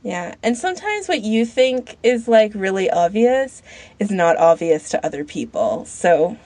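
A woman's voice: medium pitch, big pitch swings, a little slow, darkish tone, no echo. A woman co-host chatting casually on a podcast. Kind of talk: casual chat.

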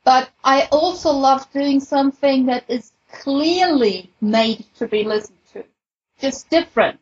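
A woman's voice: high voice, a little slow, dull audio. A woman chatting casually and reflectively as a guest in a panel discussion. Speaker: podcast panelist, audiobook-style fan fiction reader.

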